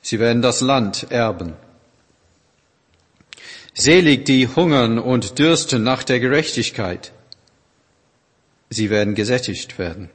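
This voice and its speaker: Slow, husky man reading scripture. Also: mid-range voice, big-room sound. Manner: measured, deliberate reading. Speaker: scripture reader. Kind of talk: solemn Bible reading.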